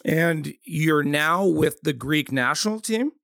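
Gravelly rambling male host. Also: a little high, animated, slow, a bit bright, no room sound, clean studio sound. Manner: rambling questions with many restarts. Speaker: podcast host. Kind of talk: casual sports interview.

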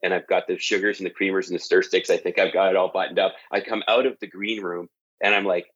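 A Canadian man talking in a relaxed interview, telling stories with lots of ums and uhs. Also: low-pitched, fast talker, highs cut off. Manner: relaxed storytelling with frequent fillers. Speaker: guest, TV sports director. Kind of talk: casual interview talk. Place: at home.

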